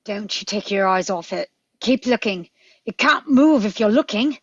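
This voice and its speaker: Woman doing urgent bossy genius doctor in a sci-fi drama, husky, lively pitch, kinda muffled audio. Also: moderate pace, no echo, lightly acted. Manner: urgent, commanding. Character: quick-thinking, urgent, commanding adventurer doctor. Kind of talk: script table read.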